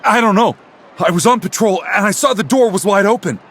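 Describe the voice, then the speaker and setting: An actor playing a flustered young knight who stammers out a rushed patrol report in a video game. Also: male voice, sits high, a bit bright. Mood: worried.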